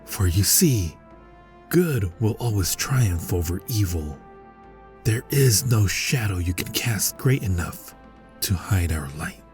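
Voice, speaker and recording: A man's voice: deep voice, animated, takes it slowly, a little mellow, soft background music. A man playing a valiant, earnest superhero captain in an audio roleplay, speaking in formal, grandiose heroic declarations. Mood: triumphant, noble.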